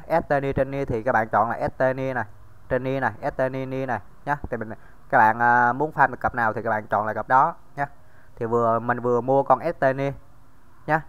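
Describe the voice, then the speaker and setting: A man's voice: mid-range voice, a bit bright, clear, resonant tone, dull audio. A man giving a casual screen-recorded tutorial, talking straight to viewers with many fillers. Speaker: crypto tutorial host.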